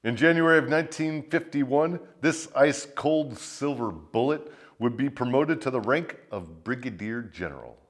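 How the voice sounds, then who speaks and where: A man tells war stories as a swaggering, theatrical host. His pitch moves around a great deal as he plays up the drama, and his delivery is crude and darkly comic. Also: animated, normal speed, few pauses. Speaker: host of a military storytelling show, a first sergeant. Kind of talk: dramatic scripted storytelling with profane humour.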